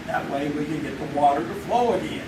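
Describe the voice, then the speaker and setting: A friendly, businesslike small-town sheriff, played by a man in a live old-time radio play. The voice sits a little high. It is picked up from a distance in an echoey theater, with noise underneath. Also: fairly quick. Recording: echoey stage from far off, noisy.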